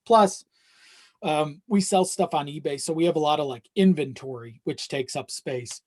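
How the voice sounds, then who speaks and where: A man's voice: on the high side, moderate pace, a little mellow. A man chatting casually as a podcast guest, often checking in with the listener as he goes. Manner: conversational, frequent you-know check-ins.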